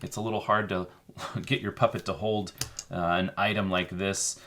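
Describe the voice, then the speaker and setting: A man co-hosting a children's show, explaining a craft casually in an informal, halting way. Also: sits low, flat delivery, a bit bright. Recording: faint background noise.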